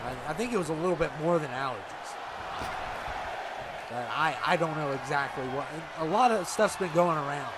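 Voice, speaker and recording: High-voiced man commentating a game. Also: normal speed. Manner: relaxed, chatty, reacts to the action. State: slightly congested from allergies. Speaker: gameplay commentator. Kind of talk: casual video game commentary. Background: loud game crowd and noise.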